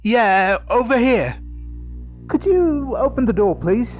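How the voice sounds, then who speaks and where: A frightened character trapped in a horror tale acted by a man, urgent and pleading. The voice is pushed high over faint music. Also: animated, slow pace, full character voice.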